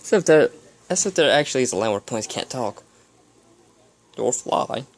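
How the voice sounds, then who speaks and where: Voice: mid-range voice, expressive, normal speed, close to an everyday voice. A reader voicing a blunt, skeptical young female pony in a storybook, casual in manner.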